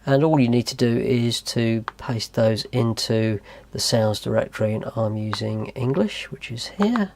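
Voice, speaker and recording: A man's voice: medium pitch, a little slow, gravelly, darkish tone. A man presenting a how-to tutorial in a methodical, explanatory way. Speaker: host of a tutorial channel.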